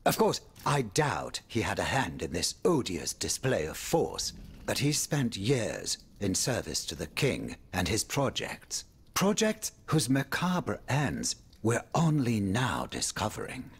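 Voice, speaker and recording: A man's voice: fairly low pitch, wildly swooping pitch, slow, breathy edge, exaggerated voice. An actor playing an urbane, worried, wry French nobleman in a video game, with a courtly, theatrical manner that moves between exclamation and confiding murmur. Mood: worried, musing. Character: urbane male French aristocrat, worried, wry, world-weary. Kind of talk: dramatic video game dialogue.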